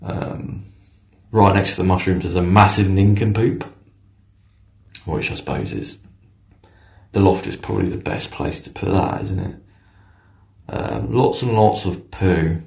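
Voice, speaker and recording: A man playing a hesitant, matter-of-fact tradesman in a deadpan comic monologue, with a gravelly voice. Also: low voice, a little slow, long pauses, echoey room.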